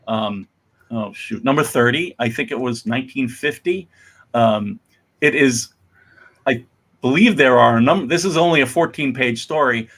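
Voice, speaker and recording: A man's voice: medium pitch, fairly bright tone. A man giving a casual, unscripted introduction as presenter of a comics reading, in a hesitant, rambling way.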